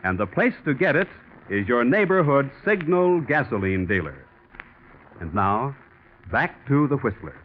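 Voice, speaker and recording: A man's voice: mid-range voice, very animated, a little slow. Tinny 1940s male radio announcer. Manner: emphatic, formal delivery. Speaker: commercial announcer. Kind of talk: 1940s radio advertising, scripted pitch. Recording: tinny, faintly noisy 1940s radio recording.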